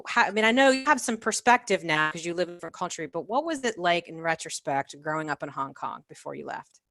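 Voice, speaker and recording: A woman in her forties hosts an interview podcast in a chatty, effusive style. Her voice sits on the low side and she leans emphatically on her words. The sound is dry and close, with little room to it. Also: lively intonation, quick pace, fairly bright tone, dry recording. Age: 40s. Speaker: podcast host.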